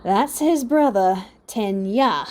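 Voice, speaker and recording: A woman voicing a gruff, snappish male teacher hero of thirty in a story reading, terse and commanding. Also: mid-range voice, lively intonation, takes it slowly. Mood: irritated. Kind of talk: dramatic fan fiction reading.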